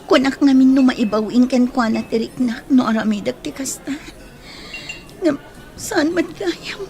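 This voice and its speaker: A young Filipino woman in a radio love story, with a high voice. She is burdened by guilt and ready to give up her own happiness. There is faint noise behind her. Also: measured pace, a little mellow. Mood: troubled, guilty. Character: guilt-ridden, self-sacrificing young woman in a love triangle. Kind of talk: radio drama acting.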